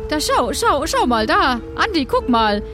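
A woman's voice: high voice, big pitch swings, unhurried, over music. A woman playing a small, timid but devoted adventurer, speaking earnestly and hesitantly in an improvised audio adventure. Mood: excited. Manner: hesitant, earnest, repeating words.

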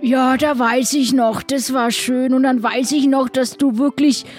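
Chummy, high-voiced pirate shipmate played by a woman. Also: fairly even intonation, unhurried, darkish tone, faint music behind. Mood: fondly reminiscing. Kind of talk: improvised audio drama.

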